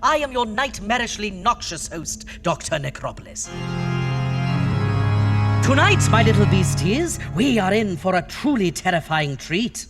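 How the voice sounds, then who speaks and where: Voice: medium pitch, sing-song, measured pace, loud music behind the voice. Undead, wildly swooping horror host. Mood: gleefully macabre. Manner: theatrical, alliterative, mock-sweet. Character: undead doctor, flamboyant, ghoulish horror show host. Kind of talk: campy horror radio hosting.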